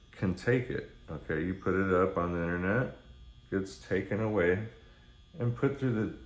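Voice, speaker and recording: A man in his forties with a low voice chats straight to camera in a loose, blunt way. He swears freely and rambles like a laid-back vlogger. The room adds a clear echo to the camera mic. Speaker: music vlogger. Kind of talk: casual rambling talk to camera. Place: small indoor room with neon signs. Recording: roomy echo.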